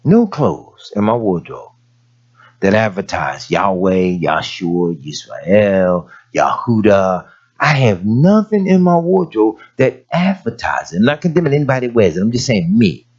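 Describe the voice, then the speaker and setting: A raspy-voiced male preacher whose pitch swings widely, dipping low and climbing high as he drives a point home. He preaches in a folksy storytelling way, and the recording sounds dull and lo-fi. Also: sing-song, unhurried, darkish tone. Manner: folksy storytelling preaching, rising to emphatic peaks. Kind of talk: sermon.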